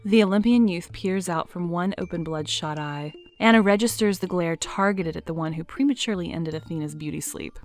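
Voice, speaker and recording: A woman reading scripted narration for an audio drama, in a lively, expressive way. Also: lowish voice, brisk, few pauses, soft background music.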